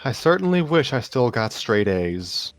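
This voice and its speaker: A man's voice: medium pitch, sing-song, measured pace, no room sound, faint music behind, voice pushed up. Man reading as a subdued, downcast young woman student. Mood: wry, resigned. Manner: subdued, hesitant, wry. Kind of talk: story character reading.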